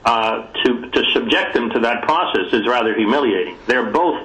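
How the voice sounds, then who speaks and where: Deliberate man on an echoey conference call. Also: mid-range voice, animated, a bit bright. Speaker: committee call participant. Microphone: telephone.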